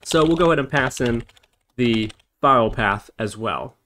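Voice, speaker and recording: A man's voice: mid-range voice, expressive, normal speed, fairly bright tone. A man narrating a step-by-step programming screencast as an instructor, explaining and thinking aloud as he works.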